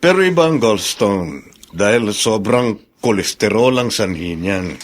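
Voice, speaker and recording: An actor playing a calm doctor who explains things in a measured, matter-of-fact way in a radio drama. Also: male voice, lowish voice. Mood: explanatory.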